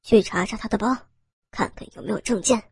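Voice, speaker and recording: A sneering, lecherous gang boss played by a woman narrator, very husky and lowered from the reader's own pitch. The delivery is smug, taunting and menacing, in a dry audiobook recording with faint music. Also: fairly quick, darkish tone, no room sound. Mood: commanding, smug.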